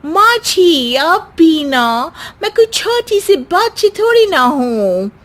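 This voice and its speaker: A woman's voice: very high voice, big pitch swings, takes it slowly, full character voice. A woman voicing a cheeky, naive young city bride with a pert, self-assured manner in a comic cartoon. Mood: pert, confident. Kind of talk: Hindi cartoon story acting.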